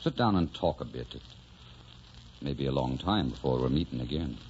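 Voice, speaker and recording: An actor playing a tender, wistful Irish rebel who dreams of farm life, speaking with an Irish lilt in old-time radio drama style. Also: male voice, rumbling low, lively intonation, normal speed, darkish tone, a little hiss or hum. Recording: dull vintage radio recording with music.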